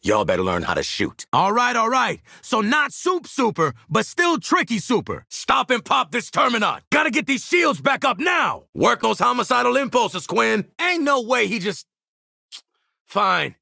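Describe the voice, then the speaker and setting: Casting: cocky American marksman for an action game, raspy, forceful, wide swings in pitch, brash and wisecracking. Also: huge pitch swings, moderate pace, no room sound, studio quality, exaggerated voice. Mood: combative, urgent. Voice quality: gravelly, raspy. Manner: brash, slangy, confident. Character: tough, cocky, wisecracking male marksman mercenary. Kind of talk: video game combat voice lines.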